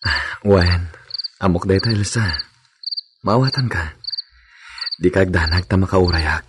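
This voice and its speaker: Romantic radio lead: patient thirties Ilocano bachelor, lowish voice, heavy background noise. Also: male voice, slow pace. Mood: tender, patient. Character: earnest, patient lovestruck bachelor in his thirties. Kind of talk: Ilocano radio drama acting.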